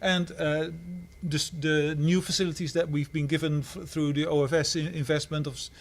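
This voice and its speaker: Halting man from the Netherlands. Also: on the high side, little pitch movement. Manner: halting, many restarts. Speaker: head of school. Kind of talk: answering questions on a livestream.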